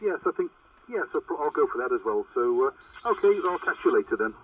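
A man's voice: mid-range voice, very animated, brisk. A man talking casually as a radio operator, his voice coming over a CB radio. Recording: muffled, very noisy distant CB radio transmission. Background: loud radio static.

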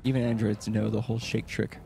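A man with a gravelly voice doing casual gameplay commentary as a streamer. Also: fairly low pitch, level tone, brisk, warm tone. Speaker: gaming streamer. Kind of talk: casual gameplay commentary, reading in-game text aloud.

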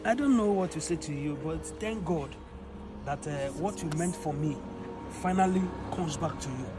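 High male voice, Nigerian accent, actor as wronged generous customer, emphatic, repetitive, outdoor skit, music behind. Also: lively intonation, fairly quick. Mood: hurt, reproachful. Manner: emphatic, repeats himself. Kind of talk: improvised moral skit acting. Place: outdoors in an open car yard. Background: music, vehicles.

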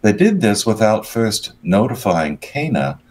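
An older man with a very low voice gives news commentary over a video call. He has a cold and talks haltingly, often stopping to search for the right word. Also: big pitch swings, a little mellow. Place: home room, video call.

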